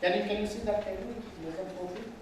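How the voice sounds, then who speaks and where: Guy teaching a class, kinda high voice, faint hum behind. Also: steady tone, fast, distant mic. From Tamil Nadu, India. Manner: explanatory, asks the room questions often. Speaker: mediation trainer. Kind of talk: classroom lecture. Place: large echoey training hall. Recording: very echoey hall, speaker off mic, faint room hum.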